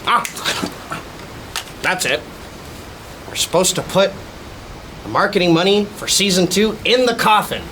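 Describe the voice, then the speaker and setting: Surf instructor from a spooky comedy sketch, played by a man: higher-pitched, very animated, hammy and musing, slight background noise. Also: a little slow, pauses often, heavily acted, low-quality recording. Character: disbanded monster gang member turned laid-back surf instructor. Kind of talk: campy comedy sketch acting.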